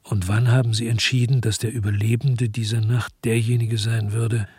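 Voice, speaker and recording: Bitter detective narrator, low rough husky voice, super dry sound, grim radio drama. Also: male voice, level tone, normal speed, darkish tone, no room sound. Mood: grim, brooding. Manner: grim, terse, reflective. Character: brooding, bitter male investigator narrating his crime story. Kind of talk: scripted first-person radio drama narration.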